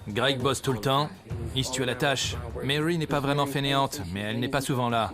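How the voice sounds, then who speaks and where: A man narrating a reality show in a brisk, dramatic storytelling way. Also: medium pitch, fairly even intonation. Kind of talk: scripted TV narration. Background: music bed.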